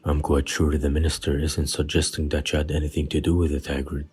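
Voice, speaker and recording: A man playing a calm, sly, courteous elderly wizard headmaster in an audio drama, deep and husky, with unhurried politeness. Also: faint music behind, full character voice. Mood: serene, courteous. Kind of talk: fan audio drama acting.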